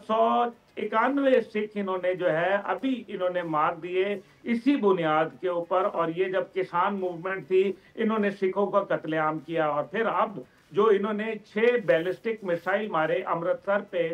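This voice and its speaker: A man's voice: sits high, a little slow, dull audio. A man with a Pakistani accent giving emphatic, lengthy explanations as a guest commentator on a political television talk show. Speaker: guest commentator, organisation chairman.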